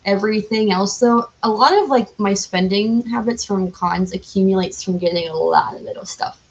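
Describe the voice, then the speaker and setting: A woman's voice: medium pitch, moderate pace. Chatty woman guest, slightly dull recording. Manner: chatty, self-described loud and exuberant. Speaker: podcast guest, cosplayer. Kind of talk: casual chat.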